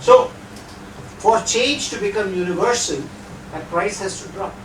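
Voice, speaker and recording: A man's voice: sits high, expressive, a little slow, background noise. Indian man lecturing in an echoey hall. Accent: Indian English. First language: not Hindi. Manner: conversational, rhetorical asides. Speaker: guest lecturer. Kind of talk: lecture with audience Q&A. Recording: echoey hall, distant mic.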